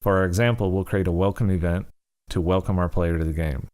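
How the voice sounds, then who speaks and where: A man with a low-pitched voice narrates a tutorial. He explains things step by step in an informal, instructional manner, as a presenter walking learners through software. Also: fairly even intonation, fast, smooth.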